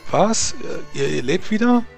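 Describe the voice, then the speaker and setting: Man putting on a flustered, higher-voiced young page. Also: expressive, unhurried, creaky, faint music behind, heavily acted. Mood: startled, unsure. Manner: hesitant, repeating words. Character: naive, flustered young male page, unsure of himself.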